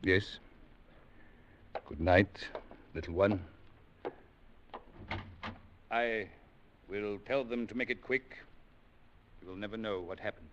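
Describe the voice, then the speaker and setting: An actor playing a dignified, quietly menacing underworld lieutenant with formal, deliberate speech in a 1940s radio drama. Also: male voice, fairly low pitch, slight background noise. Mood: grave, reluctant.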